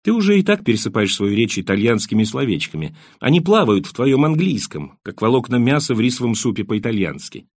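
A man's voice: mid-range voice, big pitch swings, fairly quick, few pauses, slightly rough, no room sound, studio quality. A man voicing a worldly, wry émigré who hands out talkative advice in an emphatic, rhetorical way, in an audiobook. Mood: teasing.

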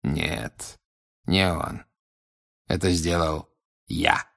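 A man acts out a grim older male wizard for an audiobook. The voice is low and husky, with deliberate, probing questions and an insistent menace. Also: fairly bright tone, heavily acted. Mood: quiet, menacing. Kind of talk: audiobook character acting.

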